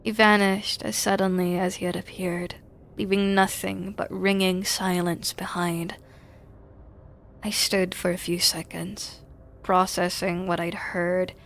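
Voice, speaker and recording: A woman's voice: on the low side, little pitch movement, slow, gravelly, a bit bright, slight background noise. A woman game streamer, moving between reading game narration aloud and casual live chat.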